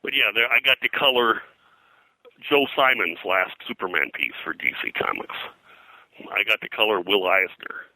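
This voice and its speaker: Older American male interviewee, low-pitched and very sharp in tone, anecdotal and meandering, casual reminiscence. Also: lively intonation, dry recording, poor audio. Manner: rambling, anecdotal, halting mid-sentence. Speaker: interview guest, comics colorist and radio historian.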